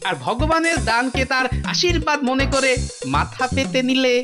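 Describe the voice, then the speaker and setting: A high, very lively cartoon jester speaks over loud music. The figure is clever and sly, explaining things coaxingly, with teasing hesitations. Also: normal speed. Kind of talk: animated cartoon dialogue.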